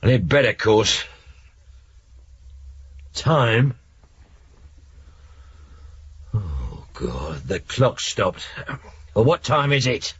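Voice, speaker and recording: A man's voice: lowish voice, sing-song, takes it slowly, long pauses, breathy edge, exaggerated voice. A man playing a hungover, sardonic British police detective in a radio drama. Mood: groggy irritation. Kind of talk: naturalistic radio drama acting. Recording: muffled radio recording with faint noise.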